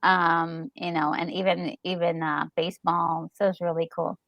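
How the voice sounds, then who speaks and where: A woman's voice: on the low side, little pitch movement, moderate pace, dry recording, highs cut off, faint music behind. A woman chatting casually as a co-host, thinking aloud and rephrasing as she goes. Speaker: co-host commenting on a game. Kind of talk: casual chat.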